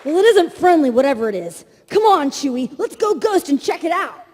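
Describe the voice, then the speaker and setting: An actor playing a brisk, cocky male space-adventure hero in a live parody reading, with an animated delivery. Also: very high-pitched, a faint crowd, a little hiss or hum. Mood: cocky, alert. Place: live stage reading at a convention hall. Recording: echoey convention hall reverb.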